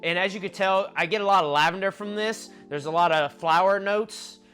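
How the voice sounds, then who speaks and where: A man's voice: high-pitched, fairly quick, faint music behind. Nasal, chatty young man. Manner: chatty, conversational, lists notes in a relaxed flow. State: nasal from allergies. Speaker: fragrance reviewer. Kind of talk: casual vlog review.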